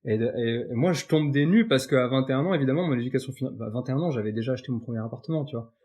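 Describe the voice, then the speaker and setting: Un homme invité d'un podcast, qui raconte son parcours sur un ton de conversation détendue, avec beaucoup d'hésitations et de reprises. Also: male voice, mid-range voice, very fast, a little mellow. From Paris suburbs, France. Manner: chatty, many fillers and restarts. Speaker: podcast guest. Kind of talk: casual interview chat.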